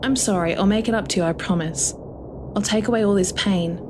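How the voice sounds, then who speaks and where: A woman voicing a calm, consoling sister returned from the dead in a horror story. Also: mid-range voice, brisk, background noise, natural voice. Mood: soothing. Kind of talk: audio horror story dialogue.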